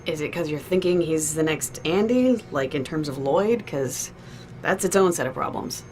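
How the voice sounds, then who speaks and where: A woman's voice: deep voice, animated, moderate pace, a little mellow, a little hiss or hum. A woman playing a loyal, frank deputy on a security team, casual and wisecracking in naturalistic audio drama dialogue. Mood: hesitant, probing. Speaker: supporting character.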